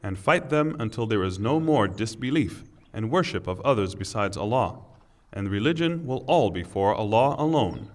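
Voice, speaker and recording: A man giving a solemn, scripted reading of translated scripture with formal, deliberate phrasing. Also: lowish voice, animated, a little mellow. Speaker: translation reader.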